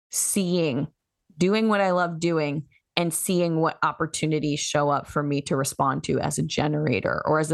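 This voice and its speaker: A woman's voice: deep voice, vocal fry, no room sound, studio quality. A woman who works as a human design coach, explaining her methods as a podcast guest in a coaching tone. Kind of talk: podcast interview.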